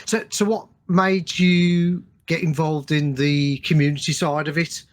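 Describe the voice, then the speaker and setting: Higher-voiced man hosting a casual chat. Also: flat delivery, unhurried. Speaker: podcast host.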